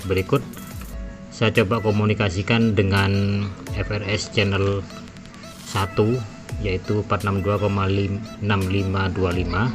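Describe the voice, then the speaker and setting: Low-voiced man explaining step by step. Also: flat delivery, a little slow, long pauses. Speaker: gadget reviewer. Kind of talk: tutorial voice-over. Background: background music.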